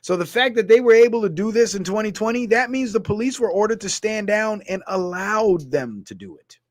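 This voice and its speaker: A man's voice: sits high, lively intonation, a little fast, no room sound. A man from Guyana ranting through a political commentary livestream, heated and blunt, reading viewer comments aloud. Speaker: streamer.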